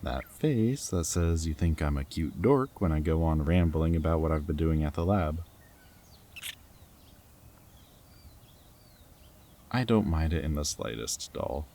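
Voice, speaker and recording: A man's voice: extremely low pitch, expressive, normal speed, few pauses, warm tone, a little hiss or hum. A man playing a goofy, affectionate young rebel scientist in an intimate roleplay audio drama, talking tenderly and earnestly to his partner. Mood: upbeat, affectionate. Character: goofy, affectionate young male rebel lab scientist and anxious foster parent.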